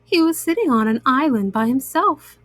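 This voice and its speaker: A woman storyteller narrating a children's book with simple, deliberate phrasing. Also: high-pitched, expressive, fairly bright tone, no room sound.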